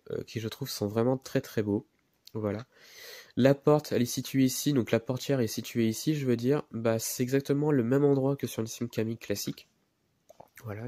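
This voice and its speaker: A man's voice: medium pitch, warm tone, no echo, studio quality. A man with a head cold and a nasal voice, presenting a miniature car in a hesitant, casual way with many fillers. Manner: hesitant, many fillers, repeats words while handling the model.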